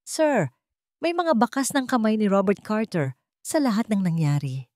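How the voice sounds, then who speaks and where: Woman as hesitant male investigator, pitched up. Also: very animated, moderate pace, a little mellow, no room sound, clean studio sound, lightly acted. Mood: hesitant, respectful. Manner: deferential, hesitant. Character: respectful male investigator, hesitant, loyal subordinate.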